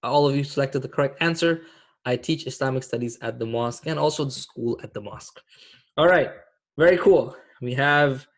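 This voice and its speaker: Friendly imam teaching teens on a video call. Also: male voice, medium pitch. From Pakistan. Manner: casual and friendly, many fillers. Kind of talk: online class for teens with a quiz.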